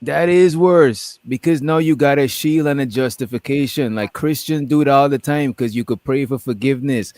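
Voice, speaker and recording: A man's voice: medium pitch, moderate pace, hardly any pauses, a little mellow, no echo. A man from Guyana chatting casually on a group livestream, colloquial and reflective, often checking that he is understood.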